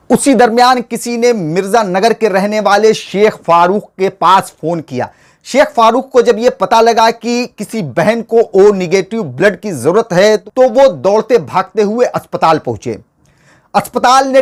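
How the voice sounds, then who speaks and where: A man hosting a political commentary show, speaking to viewers in an earnest, rhetorical and formal oratorical way. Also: high voice. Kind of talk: scripted news commentary addressed to viewers.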